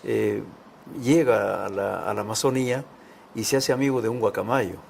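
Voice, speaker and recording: Gravelly man, halting storyteller. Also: on the low side, steady tone, darkish tone, slight background noise. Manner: hesitant, halting phrasing. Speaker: guest author, children's writer. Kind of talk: casual interview talk and storytelling.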